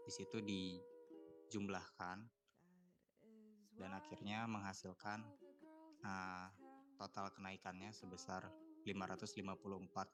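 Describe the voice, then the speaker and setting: A man giving a student presentation in a halting, hesitant way. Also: low-pitched. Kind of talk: presentation explaining accounting slides. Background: guitar music.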